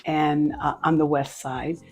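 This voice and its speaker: An older woman from Cleveland, Ohio, answering interview questions and telling her life story in an unhurried, pausing way. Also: sits low, fairly even intonation. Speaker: interviewee, nonprofit leader. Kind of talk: casual interview conversation. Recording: faint background music.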